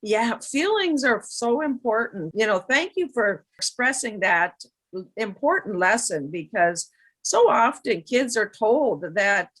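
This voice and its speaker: A woman's voice: mid-range voice, fairly bright tone, poor audio. A woman hosting a children's storytime, interviewing conversationally in an effusive, encouraging way.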